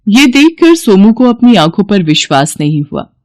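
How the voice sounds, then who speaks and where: A woman's voice: mid-range voice, very animated, moderate pace, darkish tone, dry recording, studio quality. A woman narrating a scripted children's bedtime story as the storyteller.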